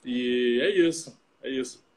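A man in his late twenties from Salvador, Brazil, co-hosting a casual live stream and talking with a video-call mic, relaxed and full of fillers. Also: medium pitch, a little slow. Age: 20s. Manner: relaxed, chatty, many fillers and tag questions.

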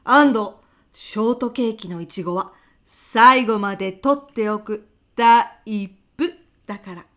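A woman's voice: mid-range voice, measured pace, fairly bright tone, close to an everyday voice. A woman playing a whimsical, gossip-hungry female personnel manager in a comedy radio drama, with a melodramatic delivery. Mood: coy, expectant. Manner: melodramatic, refers to herself by surname.

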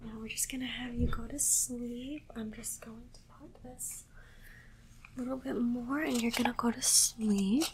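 A woman's voice: on the high side, fairly even intonation. Whispering alien examiner played by a woman, noisy recording. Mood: soothing. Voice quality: breathy whisper. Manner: soft, unhurried, repetitive, clinically reassuring. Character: calm alien examiner doing a clinical exam on a human. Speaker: ASMR performer. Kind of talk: whispered ASMR roleplay.

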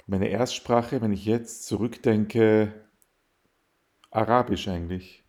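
A man's voice: lowish voice, steady tone, slow, darkish tone. A young man, answering interview questions in a thoughtful, hesitant way. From Austria. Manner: thoughtful, hesitant with fillers and restarts. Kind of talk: casual interview.

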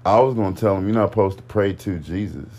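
A laid-back man co-hosting a casual podcast, his voice sitting very deep. He talks in short, unhurried bits and leaves things unexplained. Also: darkish tone. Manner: laid-back, terse, under-explaining. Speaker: podcast co-host. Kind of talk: casual chat.

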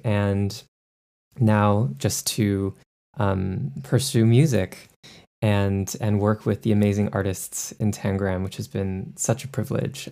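A man, a guest musician who talks in a slow, measured way with pauses. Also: fairly low pitch, gravelly, warm tone. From San Francisco. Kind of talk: casual video-call interview.

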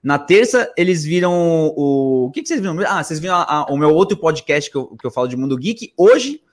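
Higher-voiced man, slangy Brazilian Portuguese talker. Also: very animated, brisk. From Argentina. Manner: rambling, slangy, self-interrupting. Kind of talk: casual conversational storytelling.

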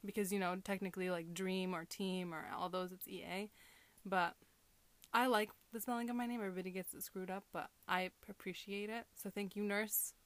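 A woman's voice: mid-range voice, fairly even intonation, brisk, fairly bright tone, no echo. A young woman from Southern California chatting casually with her sisters, rambling and laughing often as she tells stories. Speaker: podcast co-host. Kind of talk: casual chat among sisters.

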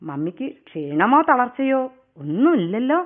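An actress playing a daughter on a video call in a radio play, sweet and overly solicitous, heard through a phone effect. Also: female voice, on the high side, big pitch swings, moderate pace. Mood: sugary. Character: daughter on a video call, sugary and falsely caring. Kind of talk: radio drama acting. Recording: tinny phone line.

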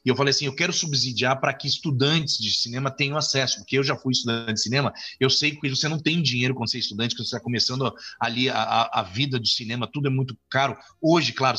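A man's voice: medium pitch, bright, forceful tone, husky. A man from Brazil, a film director and author, talking in an interview and rambling with frequent restarts and fillers. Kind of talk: interview conversation. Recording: dull lo-fi audio.

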